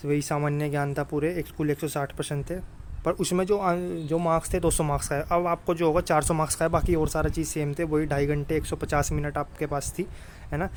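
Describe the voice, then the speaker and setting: Guy teaching exam prep, kinda high voice, monotone, some noise in the background. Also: a little fast. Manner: repeatedly checks in with listeners in a familiar, brotherly way. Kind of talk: informal exam coaching talk.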